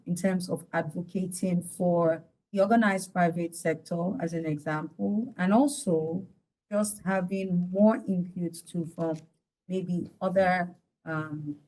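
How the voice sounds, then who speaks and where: Nigerian lady analyst explaining stuff in an interview, lowish voice, careful and pauses a lot. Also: mellow, low-quality recording. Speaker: studio guest analyst.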